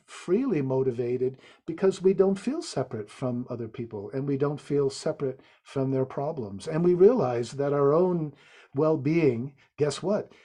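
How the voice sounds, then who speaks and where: Mellow-voiced man reflecting on Zen. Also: mid-range voice. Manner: thoughtful, halting with restarts. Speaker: guest, Zen author. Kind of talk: reflective interview talk.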